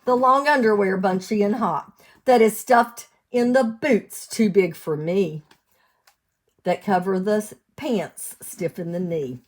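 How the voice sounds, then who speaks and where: An American woman reading a storybook aloud to young children as a teacher would, in an expressive sing-song way, asking them questions. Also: medium pitch, slow. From United States, lived in West Virginia.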